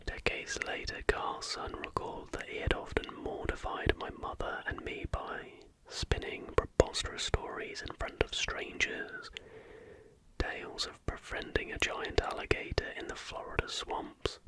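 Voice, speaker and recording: An ASMR host reads in a steady, breathy whisper with no voicing at all. The delivery is hushed and measured, in the manner of scripted narration. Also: rough recording. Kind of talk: ASMR reading of conspiracy history, scripted narration with brief asides.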